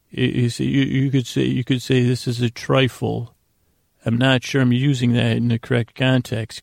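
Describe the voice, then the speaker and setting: A man with a heavily gravelly, creaky voice at an ordinary pitch, recorded close and dry. He hosts a sleep podcast, wandering through whimsical asides and often breaking off to restart his thought. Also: darkish tone, dry recording. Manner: meandering, halting, self-interrupting, whimsical. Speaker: podcast host. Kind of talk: rambling bedtime sleep podcast monologue.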